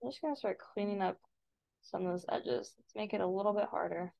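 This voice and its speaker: A woman instructor talking informally through a step-by-step software tutorial as a screen-recording voice-over. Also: mid-range voice, brisk, gravelly, lo-fi sound.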